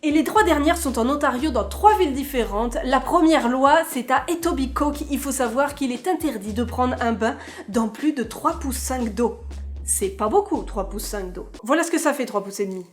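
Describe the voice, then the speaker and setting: A woman's voice: high voice, lively intonation, normal speed, faint music behind. A young woman hosting a casual vlog, playful and chatty, with joking asides. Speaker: vlogger host.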